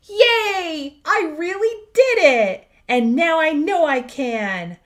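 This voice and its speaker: A woman voicing an eager, proud toddler from a storybook, with simple, expressive, sing-song delivery. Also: very high voice, slow pace, pitched above the performer's own voice, full character voice. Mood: excited, proud. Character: eager, proud toddler learning to use the potty.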